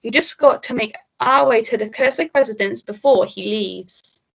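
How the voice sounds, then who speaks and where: A woman playing a level-headed police sergeant in a radio melodrama, deliberate and calming, with halting delivery. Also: a little high, gravelly, husky. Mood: resolute. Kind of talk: melodrama radio play acting. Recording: dull lo-fi recording.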